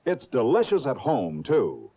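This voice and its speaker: An actor playing a cheery, obliging male pitchman in a mid-century radio commercial. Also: wildly swooping pitch, a little slow, exaggerated voice. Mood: upbeat. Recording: muffled old radio commercial.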